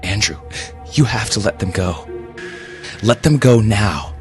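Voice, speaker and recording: A man's voice: lowish voice, lively intonation, measured pace, music in the background. Man acting a hesitant, anxious male doctor. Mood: pleading. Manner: hesitant, placating, stammering. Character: anxious adult male doctor coaxing a child. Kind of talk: horror audio storybook character.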